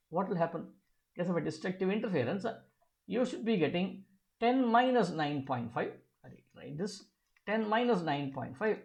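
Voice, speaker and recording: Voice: medium pitch, darkish tone. Physics tutor with a middle-register voice; didactic, methodical exam walkthrough, poses questions then answers them, restates key terms. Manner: repeats key phrases. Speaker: physics teacher. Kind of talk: step-by-step exam problem walkthrough.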